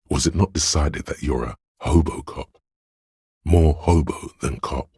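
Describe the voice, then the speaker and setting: An actor voicing a detective's inner sense of sight in a video game, calm and observant, narrating in measured, literary lines with a dry wit. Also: male voice, no echo, exaggerated voice. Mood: dryly wry. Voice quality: very deep, gravelly, husky. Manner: measured, deliberate, dryly wry.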